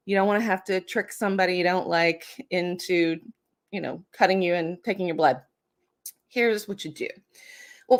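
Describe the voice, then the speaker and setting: A woman hosting a casual storytelling show, talking through folklore in a chatty, wry way with tongue-in-cheek asides. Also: mid-range voice. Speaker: host and storyteller.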